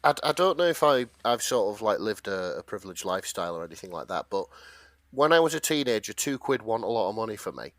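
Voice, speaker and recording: A man's voice: fairly low pitch, very animated, fast, a bit bright, no room sound. A British man co-hosting a podcast, chatting casually and retelling fanfiction in a hesitant, rambling way. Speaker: podcast co-host.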